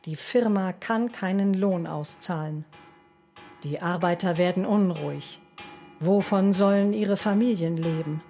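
A woman's voice: lowish voice, unhurried, mellow, faint music behind. A woman narrating a scripted film story in short, dramatic sentences. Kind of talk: scripted retelling of a silent film plot.

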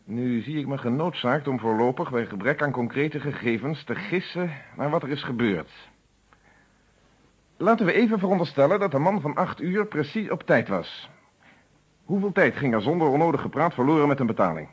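A man's voice: mid-range voice, expressive, normal speed, husky. An actor playing a stern, methodical police inspector in a radio play, speaking formally and insistently as he interrogates. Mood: methodical, pointed.

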